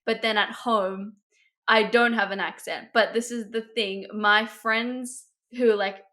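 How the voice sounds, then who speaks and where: A woman's voice: a little high, steady tone, slow pace, bright, forceful tone. A young woman, co-hosting a podcast with her mother and talking in a chatty, thoughtful way with many fillers. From Australia. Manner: chatty, lots of "like". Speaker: podcast co-host, daughter. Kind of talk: casual conversation.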